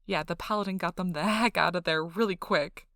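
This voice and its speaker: Excitable nerdy girlfriend, acted by a woman, lowish voice. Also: fairly quick, no echo, studio quality. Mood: thrilled. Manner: animated, breathless emphasis, frequent "like" asides. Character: excitable nerdy young girlfriend rambling about her tabletop game. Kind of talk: ASMR role-play monologue, casual rambling storytelling.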